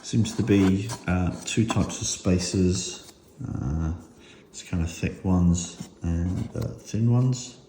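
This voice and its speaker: A man's voice: sits low, slow, warm tone. A man giving a casual hands-on product demonstration as a reviewer, unscripted and thinking aloud with some hesitation. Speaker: motorcycle gear reviewer and host. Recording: roomy echo with faint noise.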